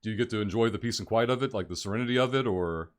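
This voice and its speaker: Low-voiced man hosting a live stream. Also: rapid-fire, clear, resonant tone. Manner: loose, enthusiastic, rambling questions. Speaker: host.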